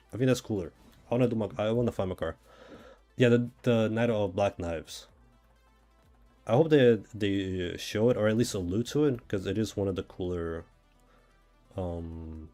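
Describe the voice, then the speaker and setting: A man with a Brazilian accent talks casually while streaming a game. His voice sits fairly low and has a soft, dark tone. He rambles and thinks aloud, with faint music playing behind him. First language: Portuguese. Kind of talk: casual chat while gaming.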